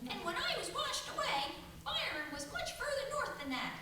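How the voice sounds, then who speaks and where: A woman's voice: very high voice, big pitch swings, normal speed. A woman voicing an earnest, youthful talking animal in a farm radio play. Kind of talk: stage radio play acting. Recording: echoey hall from far off, noisy.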